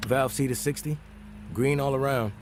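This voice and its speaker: An actor playing a hardened, confident survivor hero in a video game, with a clipped, matter-of-fact delivery. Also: male voice, medium pitch, steady tone, moderate pace, noisy background, natural voice. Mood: businesslike.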